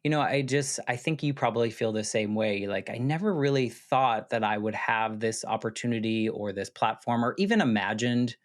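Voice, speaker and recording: A man's voice: medium pitch, normal speed, hardly any pauses. An American man hosting a podcast, asking curious, chatty questions with lots of fillers. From United States. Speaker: podcast host. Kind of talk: casual interview conversation.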